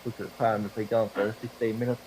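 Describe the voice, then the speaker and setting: A young man with a cold and a hoarse, fading voice, rambling profanely and mocking himself while commentating a driving game. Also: lowish voice, little pitch movement, fast, a little mellow, slight background noise, poor audio. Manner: profane, rambling, self-deprecating. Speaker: gaming video creator. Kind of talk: casual gameplay commentary. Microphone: desktop microphone.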